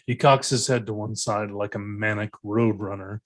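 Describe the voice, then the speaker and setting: A man's voice: lowish voice, steady tone, moderate pace. A man reading stage directions as scripted narration.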